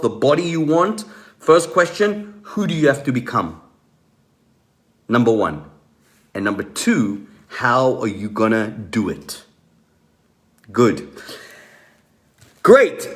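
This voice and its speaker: Male motivational coach hosting a live stream, voice on the higher side, emphatic, pausing deliberately and repeating key points. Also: fairly bright tone. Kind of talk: motivational coaching talk to online viewers.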